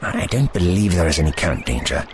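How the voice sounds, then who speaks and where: A man's voice: low voice, big pitch swings, fast talker, noisy background. A man playing an eccentric, authoritative older adventurer, cautious and kindly, speaking formally and precisely in an audio drama. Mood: firm, avuncular. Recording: voice over prominent music.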